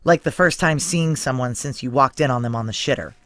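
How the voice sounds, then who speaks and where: An actress playing a tough, guarded ex-military security chief, blunt and wry, in a noir science fiction audio drama. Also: female voice, a bass voice, a little fast, fairly bright tone. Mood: wry, confiding. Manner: blunt, clipped, profane, wry.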